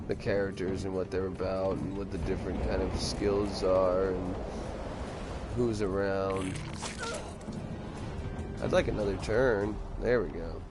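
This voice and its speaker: Male let's-play host, offhand and snarky, stuffed-up nose, low voice, loud music behind. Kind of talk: casual gameplay commentary. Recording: gameplay with loud game music.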